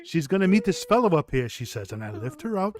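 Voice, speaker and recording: A male actor plays a folksy, plain-spoken passerby in an old radio drama, flustered and halting as he reports trouble. His voice sits high and swings widely in pitch. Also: very animated. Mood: bewildered. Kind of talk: vintage radio drama acting.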